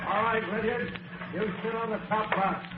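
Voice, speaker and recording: An actor playing a ruthless, commanding gang boss in an old-time radio drama, with brusque delivery. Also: male voice, high voice, fairly even intonation, moderate pace, close to an everyday voice. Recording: muffled, noisy vintage radio recording.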